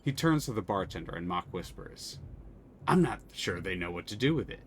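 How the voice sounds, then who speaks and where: A man's voice: low-pitched, animated, brisk, a little hiss or hum. A man streaming a story game, reading its text aloud and chatting casually, swearing freely.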